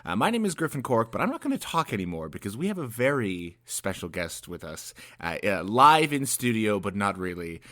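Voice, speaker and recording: A man with a Canadian accent hosting a casual chat in a jokey, halting way. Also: lowish voice, very animated, bright, forceful tone. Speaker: podcast host.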